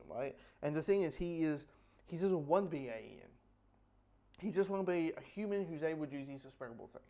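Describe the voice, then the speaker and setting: A man's voice: on the high side, darkish tone. A man giving a casual spoken comic book review, rambling and repeating phrases as he goes. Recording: dull, lo-fi audio.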